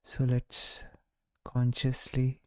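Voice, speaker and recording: Slow, mellow man guiding meditation. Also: mid-range voice, clean tone, dry recording. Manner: calm, soothing, unhurried with long pauses. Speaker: meditation guide. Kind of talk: guided body-scan meditation.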